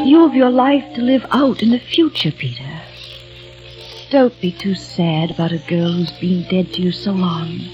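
An actress playing a young woman from the eighteenth century, who speaks tenderly and earnestly in a 1940s radio drama. She bids her lover a poetic farewell. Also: mid-range voice. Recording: dull lo-fi old radio recording with music.

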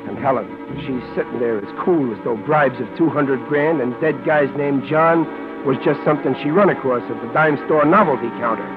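A man's voice: mid-range voice, moderate pace, close to an everyday voice. An actor playing a brash small-time tough guy in a 1940s radio drama, wisecracking in streetwise slang. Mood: wry storytelling. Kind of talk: 1940s radio drama acting and first-person narration. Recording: dull vintage radio recording with music behind.

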